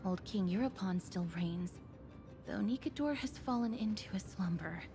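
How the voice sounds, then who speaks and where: A woman playing a composed, thoughtful young companion in a video game, speaking in a measured, earnest and articulate way. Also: medium pitch, over music, close to an everyday voice. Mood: calm, thoughtful. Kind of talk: video game character dialogue.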